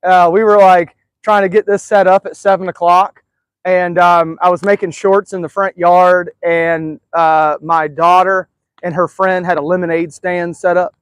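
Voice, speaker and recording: A man's voice: high voice, level tone, unhurried, smooth, no room sound. A man hosting a casual live stream outdoors, chatting in a rambling, easygoing way with lots of fillers. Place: outdoors in a backyard.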